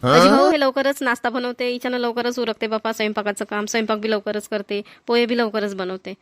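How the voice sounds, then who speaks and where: A woman's voice: on the high side, fairly even intonation, brisk, lightly acted. A woman playing a kindly, talkative older mother-in-law in a village cartoon, with a rural Maharashtrian Marathi accent and homely manner. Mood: fond. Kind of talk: Marathi cartoon family comedy voice-over.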